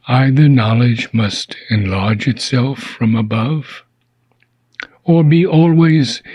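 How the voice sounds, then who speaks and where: Male audiobook reader, husky and unhurried, solemn and deliberate delivery of philosophical text with measured phrasing. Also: mid-range voice, mellow, no room sound. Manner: measured, deliberate, with frequent pauses between phrases.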